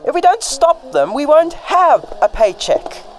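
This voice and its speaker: A man's voice: extremely high pitch, sing-song, a little slow, background noise, exaggerated voice. A man playing a polite, earnest detective who is new in town, in a radio drama.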